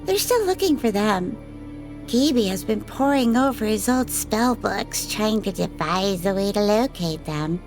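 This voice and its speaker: Woman voicing a flirtatious lover, teasing, unhurried, lively sing-song voice. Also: mid-range voice, vocal fry, low-quality recording. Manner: teasing, unhurried storytelling with frequent laughter. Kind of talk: ASMR audio drama. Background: background music.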